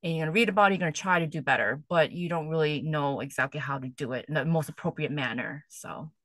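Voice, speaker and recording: A woman's voice: low voice, quick pace, a bit bright, dry recording. A middle-aged woman, a therapist guest on a podcast, talking in an earnest, hesitant way with many fillers. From United States. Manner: earnest, hesitant, laughs often. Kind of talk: casual podcast conversation.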